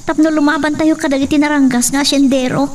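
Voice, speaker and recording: A woman's voice: high-pitched, steady tone, fast talker, far from the mic, close to an everyday voice. A woman acting in a radio drama as a devoted, emotional young farm girl who is in love. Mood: pleading, worried. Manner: emotional, pleading. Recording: off-mic, noisy track with cricket-like chirping.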